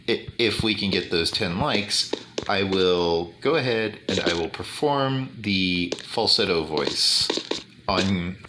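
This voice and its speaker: A man with a deep bass voice talking slowly and deliberately over gameplay, with many fillers. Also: noisy background. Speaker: gaming YouTuber. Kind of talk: casual commentary over gameplay.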